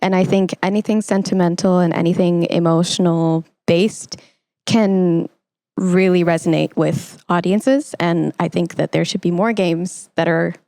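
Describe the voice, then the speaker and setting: A woman's voice: lowish voice, fairly even intonation, measured pace, vocal fry, mellow, dry recording. A young woman hosting a conversational podcast interview, speaking in an effusive, encouraging way. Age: young adult. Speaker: podcast host.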